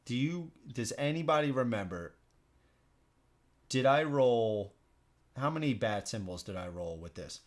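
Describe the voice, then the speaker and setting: Casual man thinking out loud while gaming. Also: mid-range voice, expressive, unhurried, long pauses. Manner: informal, thinking out loud, repeats himself. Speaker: streamer hosting a solo board game playthrough. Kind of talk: casual live stream commentary while playing.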